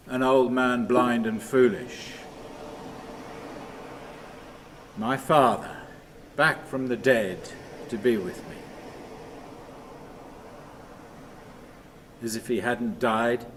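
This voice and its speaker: An actor playing a haunted, restless man in a radio play monologue, halting and repetitive, moving between muttering and command. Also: mid-range voice, natural voice. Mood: brooding, haunted. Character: haunted, rambling man talking to his dead father, brooding and restless. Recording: clearly noisy, steady ambient sound.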